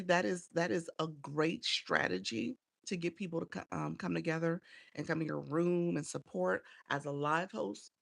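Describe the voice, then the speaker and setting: A woman's voice: deep voice, gravelly, no echo, clean studio sound. A woman coaching on a casual livestream, chatty and encouraging as she greets viewers by name, sounding a bit congested and nasal from a cold. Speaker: live streaming coach.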